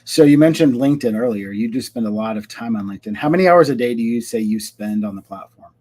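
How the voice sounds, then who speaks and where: A man's voice: mid-range voice, expressive, fast, a little mellow. A man hosting a live-streamed interview show from home, chatty and upbeat with a few fillers. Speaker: host. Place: home, lawn crew outside.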